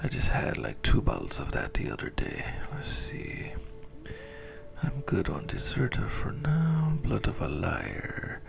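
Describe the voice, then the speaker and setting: A man voicing a possessive, centuries-old vampire lover in a whispered ASMR roleplay, smugly menacing and intimate, with a breathy, gravelly delivery. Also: fairly low pitch, huge pitch swings, a little slow, music in the background, heavily acted. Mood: casual, savoring.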